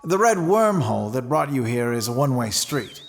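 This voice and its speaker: A man playing a courteous, grave space station commander in an audio drama, speaking formally in measured speeches. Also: medium pitch, very animated, slight background noise. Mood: frank, resigned. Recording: long reverb, music underneath.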